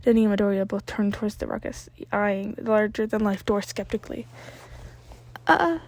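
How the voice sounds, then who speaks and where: A woman's voice: medium pitch, flat delivery, quick pace, a little mellow, no echo. A woman reading scripted fiction aloud as an amateur story narrator.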